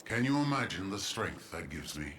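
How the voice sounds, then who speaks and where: An actor playing a solemn, defiant guardian boss in a video game, speaking in grave, declamatory lines. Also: male voice, sits low, big pitch swings, normal speed, a bit bright, a little hiss or hum. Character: solemn male guardian boss defying a stranger. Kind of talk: dramatic video game voice acting. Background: game music.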